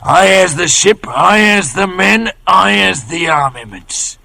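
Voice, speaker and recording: Sly old-radio boss, high gravelly voice, talk, swoops all over. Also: male voice, animated, slow, pushed and bright, full character voice. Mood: bargaining, sly. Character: one-legged pirate leader, sly, wheedling, menacing. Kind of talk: 1950s radio drama acting.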